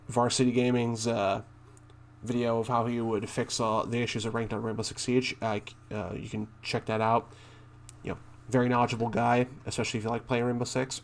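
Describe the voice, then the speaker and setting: A man doing casual commentary as he reads game notes aloud, halting and thinking out loud, with a blunt streak. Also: mid-range voice, little pitch movement, vocal fry. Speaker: gaming content creator and streamer.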